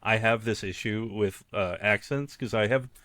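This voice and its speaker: Lowish texan guy co-hosting, laughs a lot, dry close mic. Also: moderate pace, pushed and bright, no room sound. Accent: mild Texan. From Texas. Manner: laughs a lot, eager reactions. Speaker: podcast co-host. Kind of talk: casual chat.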